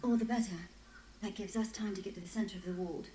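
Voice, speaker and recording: A woman's voice: mid-range voice, steady tone, fast talker, dark tone, lightly acted. An actress playing a wry, resolute woman who guides others through a shadowy fantasy city in a video game. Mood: resolute, brisk. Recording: roomy with faint noise.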